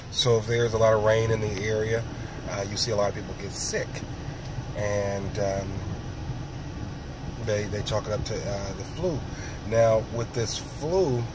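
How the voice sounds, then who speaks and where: A man with a sore throat and a raspy, hoarse voice, talking slowly in a rambling casual monologue, often clearing his throat. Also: fairly low pitch, background noise. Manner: rambling, slow, many ums and throat clearing. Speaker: vlogger.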